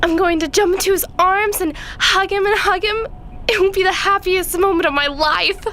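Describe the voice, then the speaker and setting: A woman playing an excitable young daughter who adores and longs for her father in an audio drama. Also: very high voice, moderate pace, slight background noise, full character voice. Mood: eager.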